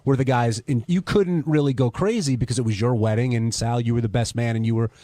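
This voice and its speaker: A man asking probing questions in a casual talk-show style, a little halting, close on a studio mic. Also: mid-range voice, mellow, dry recording. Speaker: radio host questioning guests. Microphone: close studio radio mic.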